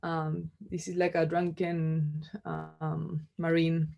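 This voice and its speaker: A woman from Chile with a Chilean accent, a native Spanish speaker, giving an informal artist talk in a halting, conversational way peppered with filler words. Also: sits low, completely flat delivery, vocal fry, dark tone. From Chile, Santiago. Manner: halting, conversational, frequent "like" and "you know". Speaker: visual artist giving a lecture.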